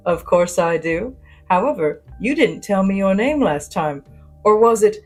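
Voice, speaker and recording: A woman's voice: medium pitch, lively intonation, measured pace, darkish tone, faint music behind. Woman voicing an eager, hesitant male desk clerk. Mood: bright, bluffing. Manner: polite, adoring, uncertain. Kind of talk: sci-fi audio fiction character voice.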